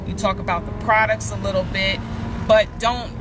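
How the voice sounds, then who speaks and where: Casting: female business trainer, mid-pitched, informal instructional delivery, very noisy live-stream recording. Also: fairly even intonation, normal speed. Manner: instructional, conversational, acts out sample questions to prospects. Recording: noisy outdoor live stream, loud ambient noise.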